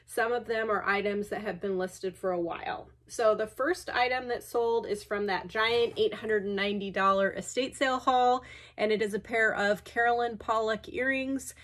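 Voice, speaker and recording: A woman's voice: medium pitch, fairly even intonation, moderate pace, fairly bright tone. A woman in her thirties, a bit congested and sniffly, chatting casually as she packs her online sales, with fillers and self-deprecating asides. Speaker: online reseller. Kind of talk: chatty packing vlog. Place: large cluttered indoor workspace.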